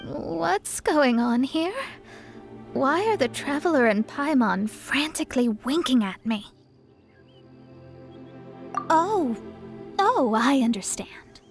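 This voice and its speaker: A haughty young female mage for a fantasy game, pitched high and unhurried. She speaks with formal self-assurance, as if instructing those beneath her learning. Music plays softly behind her. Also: expressive, exaggerated voice. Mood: puzzled. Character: proud, haughty young woman astrologer mage. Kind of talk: video game character acting.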